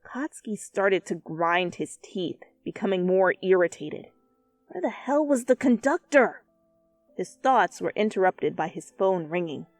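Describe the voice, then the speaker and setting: A woman reading fan fiction as an expressive, dramatic narrator who swears freely. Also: medium pitch, measured pace, no room sound. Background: soft music.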